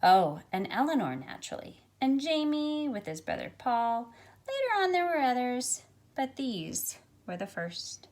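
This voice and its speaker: A middle-aged woman reading a picture book aloud to young listeners in an expressive, measured storytelling way. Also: on the high side. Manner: expressive, measured storytelling with drawn-out phrases and softened asides. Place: small carpeted room at home, indoors. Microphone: phone at arm's length.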